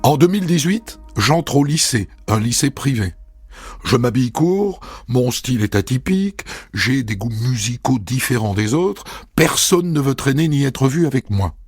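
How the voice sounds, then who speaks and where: Man narrating softly over music, husky. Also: medium pitch, animated, dry recording. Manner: intimate, measured first-person reading, voicing others in passing. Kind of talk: first-person storytelling narration. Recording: dry studio voice over soft music.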